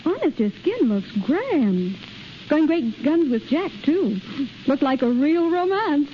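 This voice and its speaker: Gossipy young girlfriend, chatty, high-pitched, animated, 1930s radio skit, muffled noisy recording. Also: slow pace, heavily acted. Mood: gossipy, eager.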